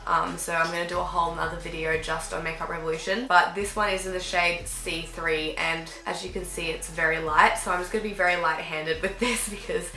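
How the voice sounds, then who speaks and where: A woman's voice: lowish voice, steady tone, brisk, hardly any pauses, bright, forceful tone, roomy sound, soft background music. Young woman vlogger, sick and a little nasal. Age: young adult. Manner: chatty, filler-heavy, talks while applying makeup. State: sick, a bit croaky and nasal. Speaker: beauty vlogger. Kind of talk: casual makeup tutorial chat. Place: dim bedroom with fairy lights, indoors.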